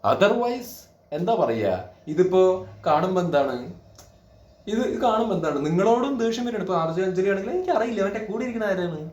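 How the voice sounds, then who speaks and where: A man's voice: high-pitched, lively intonation, a little fast, a little mellow, lo-fi sound. A man giving opinionated reaction commentary in an indignant, scolding, emphatic manner full of rhetorical questions. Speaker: reaction video creator. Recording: echoey room.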